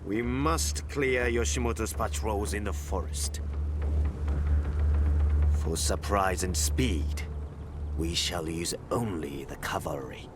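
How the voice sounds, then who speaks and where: A man playing a determined samurai commander in a game cutscene, deliberate and commanding, with music behind him. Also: sits low. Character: determined samurai commander laying out battle plan. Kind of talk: dramatic game cutscene acting. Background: cinematic music.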